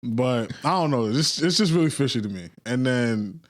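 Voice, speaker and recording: A man's voice: mid-range voice, lively intonation, normal speed. A man hosting a casual podcast chat, arguing and swearing loosely with friends. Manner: argumentative, swearing, laughs often.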